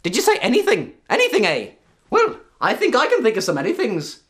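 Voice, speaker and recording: A gleeful leprechaun from a folktale, acted out by a male storyteller. The voice is high and very lively, teasing and meddling, as if inventing the mischief on the spot. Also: brisk, full character voice. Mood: gleeful, bossy. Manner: gleeful, teasing, improvising as he goes.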